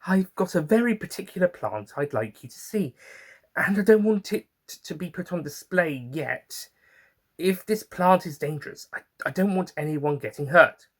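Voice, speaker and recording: A man's voice: high voice, animated, moderate pace, husky, voice pushed up, exaggerated voice. A man voicing a polite, concerned woman who runs a flower shop in an audio story, speaking earnestly.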